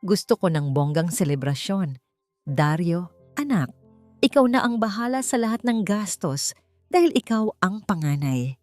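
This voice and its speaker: A woman's voice: on the low side, very animated, unhurried, darkish tone, dry recording. A woman voicing a scheming, domineering mother-in-law of sixty in a melodramatic audio story, with a grand, commanding manner. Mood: proud, grand. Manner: grandiose, commanding, pretend-outraged. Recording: music behind the voice.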